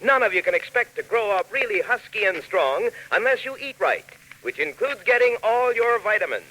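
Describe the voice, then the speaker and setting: A man's voice: high-pitched, animated, moderate pace, bright, forceful tone, poor audio. A man delivering a scripted 1940s radio advertisement as a folksy announcer talking to children, on a hissy old recording. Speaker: announcer and cereal pitchman.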